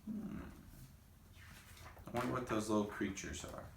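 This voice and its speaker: A man reading a picture book aloud to children, with gentle, chatty asides to young listeners. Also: fairly low pitch, wildly swooping pitch, measured pace, vocal fry, roomy sound, a little hiss or hum. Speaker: read-aloud host.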